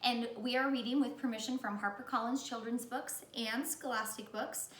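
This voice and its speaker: A woman reading a children's story aloud in the animated style of a teacher. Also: on the high side, normal speed, far from the mic. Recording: echoey room.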